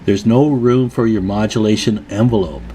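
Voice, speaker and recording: A man's voice: on the low side, moderate pace, warm tone, slight background noise. A man presenting a hands-on repair tutorial in a casual, plain-spoken, advising way.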